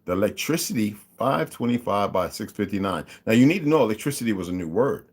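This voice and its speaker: A man's voice: on the low side, lively intonation, fast, darkish tone. A man hosting his own channel, talking through his views in a casual monologue, with emphatic asides and listing figures aloud. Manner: emphatic, mocking interjections; reads figures aloud, asks listeners to follow along. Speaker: channel host.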